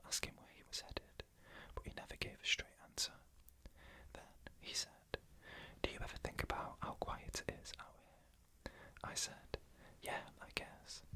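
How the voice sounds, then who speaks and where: A narrator reading eerie stories in a hushed, breathy ASMR whisper, in short measured phrases.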